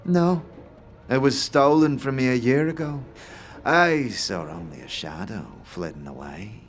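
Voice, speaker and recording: Eccentric male inventor, played by a man, fantasy audio drama: slow, cryptic, grand delivery with big swings in pitch, faint music beneath. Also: expressive, lightly acted. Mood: matter-of-fact. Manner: theatrical, grandiose, dramatic pauses.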